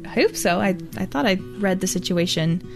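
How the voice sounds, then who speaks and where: A woman of middle pitch talks as a tabletop player, hesitant and repeating herself. A clear plucked guitar track plays behind her voice. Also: animated, a little fast. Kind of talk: casual in-character banter.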